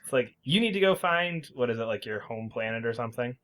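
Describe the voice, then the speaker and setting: A man's voice: mid-range voice, animated, quick pace, fairly bright tone. Male co-host, mid-range voice, conversational and opinionated, debating in an informal podcast style. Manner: opinionated, argumentative. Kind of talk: casual podcast discussion.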